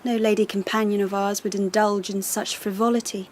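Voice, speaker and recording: An actress playing a haughty, icy young empress in a radio drama, imperious, formal and measured in her disdain. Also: mid-range voice, level tone, a little hiss or hum, close to an everyday voice. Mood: haughty, probing.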